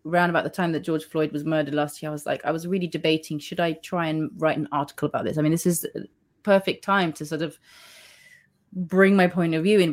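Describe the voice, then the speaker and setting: Low-voiced woman author, thoughtful and measured. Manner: thoughtful, measured, with frequent restarts and soft laughs. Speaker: author being interviewed. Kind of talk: reflective interview conversation. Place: Spain.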